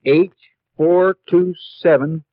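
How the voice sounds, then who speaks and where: An actor playing a folksy Western sheriff and loyal sidekick, in a muffled, noisy 1950s radio western. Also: male voice, fairly high pitch, fairly even intonation, slow pace, dry recording. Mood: wry, helpful. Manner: folksy, plainspoken.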